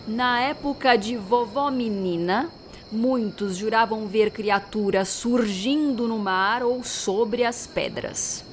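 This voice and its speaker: A woman playing a very old street woman who tells eerie sea legends in a measured, ominous, dramatic audio-drama style. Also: medium pitch, a little hiss or hum, lightly acted.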